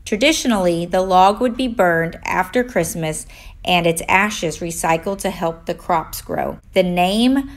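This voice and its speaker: A woman reading a children's story aloud in an expressive, deliberate way for young listeners. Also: lowish voice.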